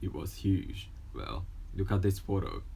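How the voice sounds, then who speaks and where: A young man whose first language is Japanese, speaking English with a mostly American accent and a slight Japanese trace, reading textbook lessons aloud with halting restarts. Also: low-pitched, expressive, vocal fry, dark tone, slight background noise. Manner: halting, with restarts and self-corrections. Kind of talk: casual talk, then reading school textbook passages aloud.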